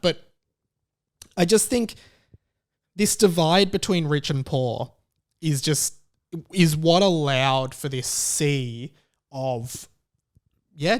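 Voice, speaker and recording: A man with a slightly high voice talks through his opinions in a casual podcast style. His delivery is conversational and halting, and he leans hard on the words he wants to stress. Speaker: podcast host.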